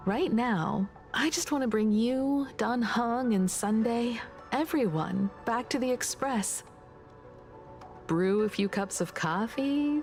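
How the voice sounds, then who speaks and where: Caring adult female game companion, fairly high, slow, affectionate, soft music underneath. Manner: gentle, affectionate, unhurried. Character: warm, gentle, caring grown woman companion. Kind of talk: video game voice acting.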